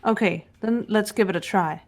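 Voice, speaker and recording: Woman acting teenage girl detective, game character, composed, analytical, measured delivery, mid-pitched voice, background music. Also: lightly acted. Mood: businesslike. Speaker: amateur voice actor. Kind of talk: fan voice-over of a visual novel game.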